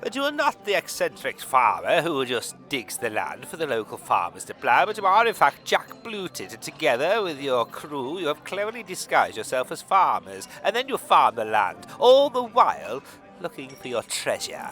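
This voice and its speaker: A man's voice: fairly high pitch, huge pitch swings, normal speed, pushed and bright, soft background music, heavily acted. A man playing an officious, self-important policeman in a radio comedy, speaking emphatically and with exasperation. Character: officious, earnest policeman making an arrest. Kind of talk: radio comedy drama parody.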